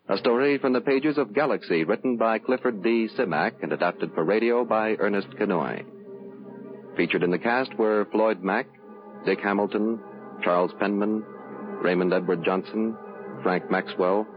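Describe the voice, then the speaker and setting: A man reading scripted credits as a 1950s radio announcer, formal and measured. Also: fairly low pitch. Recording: dull 1950s radio recording.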